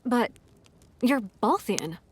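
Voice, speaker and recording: A woman's voice: a little high, expressive, very slow, a little hiss or hum. An actress playing a dutiful female army captain in an audio drama, respectful, modest and soldierly in her speech.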